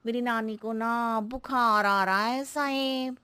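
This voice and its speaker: A woman's voice: fairly high pitch, fairly even intonation, takes it slowly, bright, forceful tone, smooth, no room sound. A woman voicing a muddled, cheeky cartoon housemaid who talks in stubborn, wheedling riddles and roundabout phrases. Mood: riddling, earnest. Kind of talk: exaggerated Hindi cartoon comedy acting.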